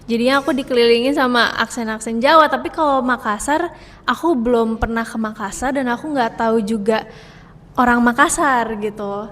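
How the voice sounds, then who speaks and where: A woman giving a casual interview as a guest actress, explaining things informally with small asides. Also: a little high. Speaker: actress interviewed as film cast member. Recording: reverberant room, faint background noise.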